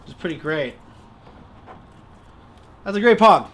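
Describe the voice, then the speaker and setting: A high-pitched man hosts a relaxed crafting stream. His voice is bright and forceful, and he talks in a playful, informal way over some background noise. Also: slow pace. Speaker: livestream host. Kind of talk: casual chat while doing crafts.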